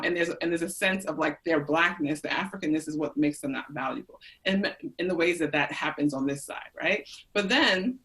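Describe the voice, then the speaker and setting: A woman's voice: deep voice, fairly bright tone. An American woman hosting a thoughtful, casual conversation, working through ideas aloud and often adding tag questions. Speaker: host, interviewer.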